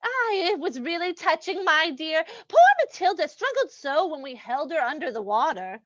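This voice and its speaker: A woman's voice: sits high, animated, moderate pace, a bit bright, no echo, lo-fi sound. A woman playing a gloating, sweetly cruel lady murderess in an old-time radio mystery, cooing mock sympathy at her victim.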